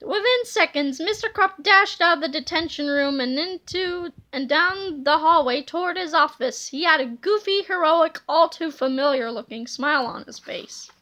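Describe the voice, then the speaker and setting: Really high bright lady voice reading a kids book out loud, animated and dramatic. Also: moderate pace, hardly any pauses, rough recording. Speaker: storybook reader. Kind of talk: expressive children's book read-aloud.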